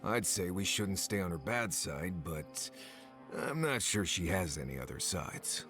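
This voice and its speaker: Grumpy, animated fantasy guide. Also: male voice, low voice, unhurried, soft background music. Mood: sardonic. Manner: exasperated, sarcastic, blunt. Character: grumpy, sardonic, stubborn male fantasy guide. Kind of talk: dramatic video game acting.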